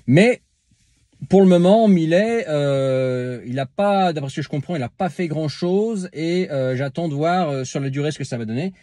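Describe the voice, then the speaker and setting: A man's voice: fairly high pitch, expressive, moderate pace, dark tone, no room sound, clean studio sound. A man talking casually to camera as a vlogger, answering a viewer's comment with many filler sounds and restarts, speaking to the viewer informally.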